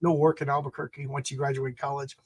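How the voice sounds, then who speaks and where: A man's voice: medium pitch, level tone, fast. Rambling, jokey New York man. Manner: rambling, jokey, keeps interrupting. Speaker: podcast host. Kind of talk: casual interview chat.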